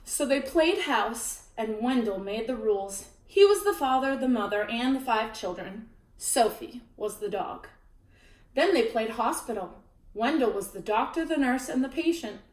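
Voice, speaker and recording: A woman reading a children's picture book aloud as storyteller, expressive, with chatty asides to her listeners. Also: fairly high pitch, normal speed. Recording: roomy echo, distant mic.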